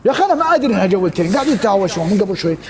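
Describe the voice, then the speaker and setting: Guy on a live stream talking super fast, high voice, crowd noise behind him. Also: very animated. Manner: insistent, repeats himself. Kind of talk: heated live-stream banter.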